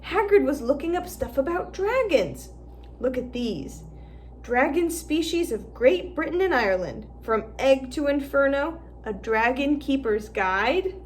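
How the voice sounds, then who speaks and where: A woman's voice: high-pitched, very animated, unhurried, slight background noise. A woman voicing a boastful schoolboy wizard. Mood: explaining. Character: eager schoolboy wizard, know-it-all about dragons.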